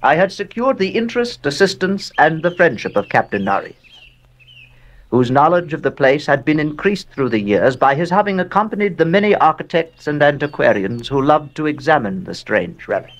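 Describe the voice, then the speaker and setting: An actor playing an old, bereaved, wealthy American man who narrates a horror radio drama in a measured, solemn way with dramatic pauses. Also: a little high, very animated. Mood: reflective.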